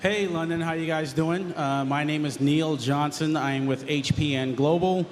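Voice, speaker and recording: Informal guy on a panel, flat voice, slightly high. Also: moderate pace, slight background noise. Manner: chatty, informal. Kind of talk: conference panel talk.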